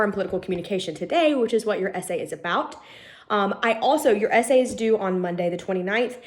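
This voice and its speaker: A young woman teaching an online college class while nursing a cold, her voice a little sniffly. She talks to students in a loose, friendly way, dotted with "um"s, into a laptop mic at a middle pitch. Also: a little mellow. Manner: chatty, informal, many "um" fillers. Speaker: college lecturer. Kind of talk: recorded online lecture.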